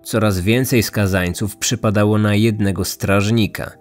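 A man's voice: on the low side, fairly even intonation, moderate pace. A man in his thirties reading scripted true-crime narration as a podcast host. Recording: music under the voice. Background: background music.

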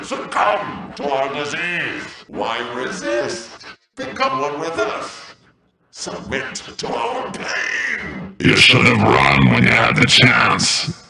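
A man's voice: mid-range voice, vocal fry, heavy reverb, distant mic, heavily acted. A man playing a menacing, sadistic plague-bringing villain program in a video game, with a taunting, theatrical delivery and a processed voice.

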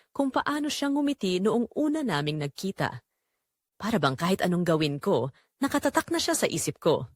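Young Filipino female narrator for dramatic romance story; emotive, reflective, lively pitch movement, close dry recording. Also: dry recording. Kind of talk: dramatic scripted first-person romance narration.

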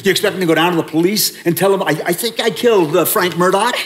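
Flustered, stammering older American husband. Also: male voice, high-pitched, a bit bright, slight background noise. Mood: agitated, defensive. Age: older, 70s or 80s. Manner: halting, stammering, agitated. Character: flustered, defensive husband with a hazy memory. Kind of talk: old-time radio drama acting. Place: live staged reading in a meeting room.

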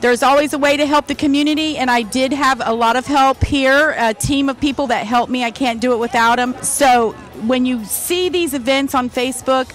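Cheerful high-voiced woman organizer. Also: normal speed, hardly any pauses, soft background music. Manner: cheerful and inviting. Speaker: event organizer. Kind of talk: casual remarks to camera.

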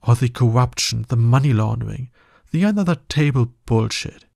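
ASMR roleplay villain, played by a man: male, smug and teasing, breathy low-key delivery, very dry close sound. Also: expressive, a little mellow, dry recording. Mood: scornful, intense. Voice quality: husky, breathy. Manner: slow, condescending, teasing. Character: smug, seductive, dominant male villain courting his hero nemesis.

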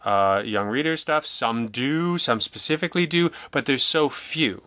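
A man speaking as a guest in a casual interview, wry and self-deprecating, telling things in an animated way. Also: medium pitch, measured pace, dry recording. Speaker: interview guest, audio drama writer and producer.

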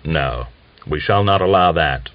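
A man's voice: extremely low pitch, expressive, a little slow, dry recording, voice pushed down. A man voicing a terse, sceptical Cossack commander in an audiobook novel. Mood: curt, sceptical. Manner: terse, reserved. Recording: dull lo-fi audiobook recording.

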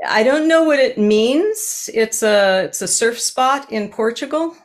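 A woman's voice: on the high side, animated, unhurried. A woman in her sixties hosting a casual podcast chat over a video call from her home, talking in an earnest, chatty way. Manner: chatty, earnest, gestures with hands while talking. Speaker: animal communicator and podcast host. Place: home room with bookshelves. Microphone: webcam on a video call.

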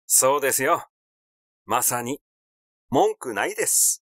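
A man's voice: medium pitch, very animated, takes it slowly, a bit bright, no echo, studio quality. A man voicing a cheeky, meddling young man in a story reading, needling and argumentative. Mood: exasperated.

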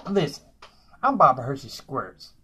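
A man's voice: mid-range voice, sing-song, moderate pace, pushed and bright, husky, full character voice. A man playing a boastful, broke woman who lives in a dumpster, tacking "y'all" onto nearly every phrase in a comedy monologue. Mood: brash.

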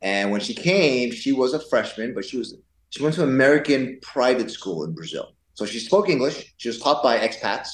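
A man in his forties speaks at an average pitch in a casual podcast chat. He is playful and digressive as he tells his stories. The room around his voice is audibly echoey. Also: animated, normal speed. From born in Taiwan. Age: 40s. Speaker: podcast guest. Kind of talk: casual conversational storytelling. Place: indoors in a pool house.

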